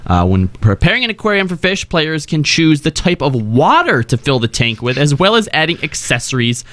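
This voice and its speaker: A man's voice: a little high, very animated, normal speed, a bit bright. A man hosting a casual group chat and reading out game update notes, irreverent and loose with his language. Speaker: host.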